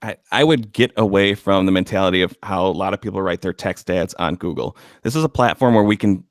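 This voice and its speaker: A man speaking as a podcast guest, giving advice in a measured, thoughtful way. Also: sits low, no room sound, clean studio sound. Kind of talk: casual explanation.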